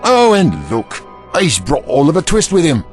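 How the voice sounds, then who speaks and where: A man's voice: a little high, wildly swooping pitch, moderate pace, soft background music. A man with a Scottish accent playing an impatient, sardonic Prime Minister in an audio drama. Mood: sarcastic. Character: brusque, sarcastic Prime Minister commanding under attack.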